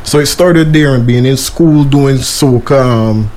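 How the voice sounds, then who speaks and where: A young man from Guyana with a Bajan accent, a soca artist giving a casual, laid-back radio interview full of shout-outs. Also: medium pitch, measured pace.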